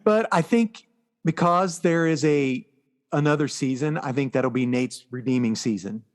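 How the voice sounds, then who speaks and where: Higher-pitched American man, chatty co-host. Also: normal speed. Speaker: podcast co-host. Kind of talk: casual pop culture chat.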